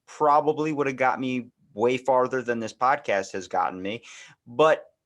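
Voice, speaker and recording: A man's voice: mid-range voice, normal speed, a bit bright. An American man hosting a podcast, talking in a casual, rambling conversational way. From United States. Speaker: podcast host.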